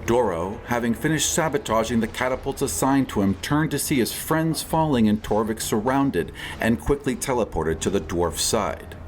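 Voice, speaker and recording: A man's voice: mid-range voice, animated, moderate pace, few pauses, slight background noise. A man reading scripted narration of a fantasy battle story as its narrator. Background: music.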